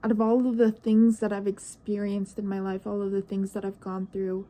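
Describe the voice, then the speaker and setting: Nineteen-year-old woman, vlogger; hesitant, reflective monologue, dark mellow tone. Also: medium pitch, little pitch movement, normal speed. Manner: searching, hesitant, trails off into questions.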